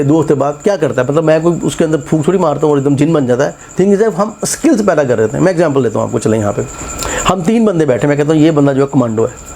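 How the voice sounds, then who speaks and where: A man explains test preparation at a quick clip, asking his own questions and answering them. His voice sits a little high but sounds mellow. A faint hum of noise runs behind him. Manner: animated, mixes English phrases into speech, rhetorical questions. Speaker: English test tutor and institute head. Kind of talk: casual classroom interview and explanation.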